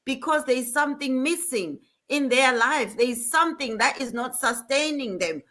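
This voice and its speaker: A woman hosts an online panel chat with a bright, forceful tone and a voice pitched a little high. She talks in an earnest, motivational way. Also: normal speed. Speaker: host.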